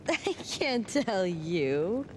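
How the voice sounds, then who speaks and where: Slow-spoken, guarded young wanderer. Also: female voice, big pitch swings, darkish tone. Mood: teasing. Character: lonely, teasing, proud young Romani woman from Wales. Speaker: voice actor in a game cutscene. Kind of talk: dramatic game dialogue. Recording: clear background noise or rumble.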